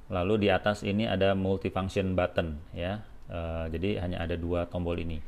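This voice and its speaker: A man's voice: low voice, level tone, moderate pace, creaky, darkish tone. A man giving a casual, hands-on product demonstration step by step, informally and with short check-in tags. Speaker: product tutorial presenter for a helmet shop.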